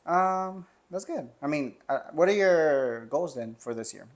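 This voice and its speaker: A woman with a Texas accent hosting a casual podcast, relaxed and agreeable, often chiming in with quick acknowledgements. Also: low voice. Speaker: podcast host. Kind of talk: casual chat.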